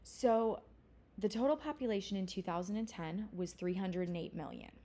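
Woman teaching a class recording, warm mellow voice, chatty and explaining, fixes herself a lot. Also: medium pitch, normal speed. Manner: conversational, explanatory, with fillers and self-corrections. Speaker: college instructor. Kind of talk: recorded lecture.

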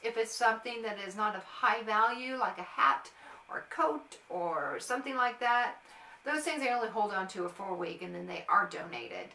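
Bright-voiced American woman, echoey room. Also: medium pitch. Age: middle-aged. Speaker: airport agent and vlogger. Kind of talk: casual advice to camera. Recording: roomy, echoey, distant mic.

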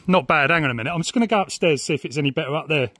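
A man's voice: a little high, very fast, fairly bright tone, slightly rough. Chatty middle-aged southern English man. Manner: chatty, informal. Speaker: radio hobbyist vlogger. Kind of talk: casual vlog chat and radio calls. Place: house and back garden.

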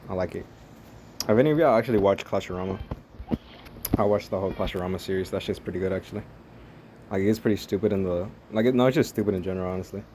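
A man's voice: low-pitched, quick pace, pauses often, dark tone, a little hiss or hum, rough recording. A young man rambling through casual, profane play-by-play of a mobile strategy game as a gaming channel host. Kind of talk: casual gameplay commentary.

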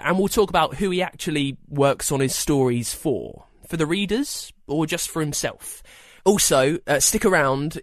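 A man presents a podcast as its host and interviewer. His voice sits at an ordinary middle pitch, and the sound is dry, with little room around it. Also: dry recording. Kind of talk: scripted podcast introduction and interviewing.

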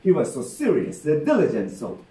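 A middle-aged man with a Japanese accent telling comic stories live to an audience, animated and checking in often. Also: on the high side, normal speed. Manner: animated, warm explaining with gestures and frequent "okay?" checks. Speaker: rakugo storyteller. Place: meeting room, indoors. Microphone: far camera in the audience. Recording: roomy sound from a distant camera.

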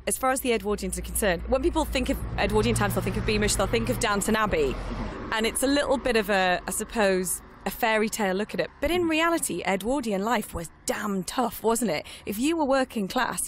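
A woman's voice: mid-range voice, animated, brisk, slight background noise. A woman hosting a chatty, informal radio interview, putting questions and comments to a guest.

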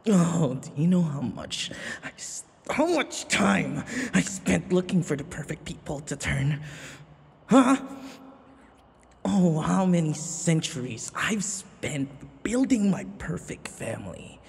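An obsessive male vampire in an ASMR roleplay drama, menacing and full of grief. He speaks slowly and haltingly, with wide swings of pitch between breathy murmurs and outbursts. The voice rings in a long, hall-like reverb. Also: sing-song, heavily acted. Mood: bitter, menacing. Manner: breathy whispering, halting repeated words, sudden outbursts.

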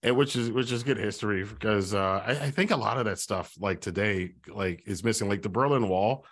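A man's voice: lowish voice, fairly quick. A man in his forties hosting a casual interview at a close studio mic, rambling and gesturing as he talks. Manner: rambling, restarts, animated with gestures. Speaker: podcast host. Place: small home studio.

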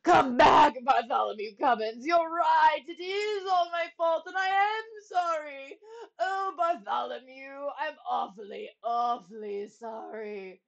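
A proud old king from a storybook, as a woman reader puts him on. He draws his words out slowly and swings into haughty bellows and groans, a blustering ruler who is helpless underneath. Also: on the high side, sing-song, vocal fry, highs cut off, exaggerated voice. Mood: sobbing, sorry.

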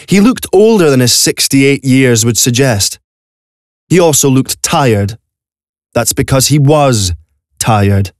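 A man's voice: medium pitch, no echo. Male narrator, dry studio sound. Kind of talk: scripted audio drama narration. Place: recording studio. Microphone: close studio microphone.

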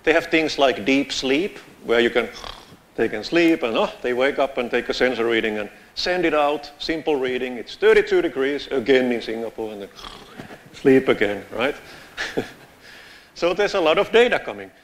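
A man's voice: medium pitch, normal speed. Finnish man giving a casual tech talk. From Finland. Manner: casual, joking, lively gestures while walking the stage. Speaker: conference speaker. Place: large lecture theatre.

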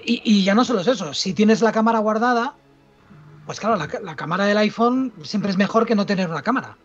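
A man's voice: high voice, a little fast, highs cut off, soft background music. A middle-aged man with an accent from Spain, a filmmaker talking insistently in a casual panel discussion. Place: indoors at home, video call.

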